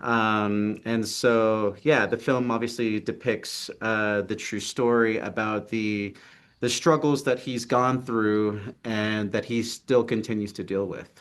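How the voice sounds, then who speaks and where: Young Texan man, earnest and halting. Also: fairly low pitch, fairly even intonation, clean tone. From Texas, United States. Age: young adult. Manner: earnest, hesitant, many fillers. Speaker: film writer and actor, interview guest. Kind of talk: casual video-call interview. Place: city apartment with street traffic outside.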